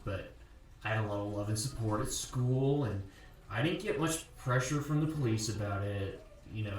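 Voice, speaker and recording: A man's voice: lowish voice, natural voice. Echoey, distant actor as a young college guy. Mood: hesitant, regretful. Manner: hesitant, halting, casual. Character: guarded, hurt 24-year-old college athlete, missing girl's ex-boyfriend. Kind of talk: audio drama acting as a recorded interview.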